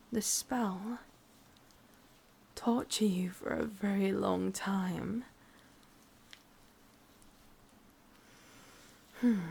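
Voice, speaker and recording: A woman playing a sadistic, possessive witch with an English accent in a whispered ASMR roleplay, breathy, teasing and menacingly sweet. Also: medium pitch, darkish tone, heavily acted. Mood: musing, menacing.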